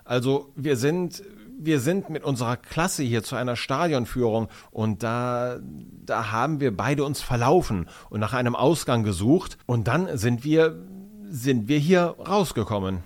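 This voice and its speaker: A man's voice: mid-range voice, lively intonation, measured pace, few pauses, natural voice. A man voicing a nervous young schoolboy who stammers apologetically. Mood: sheepish. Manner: stammering, sheepish.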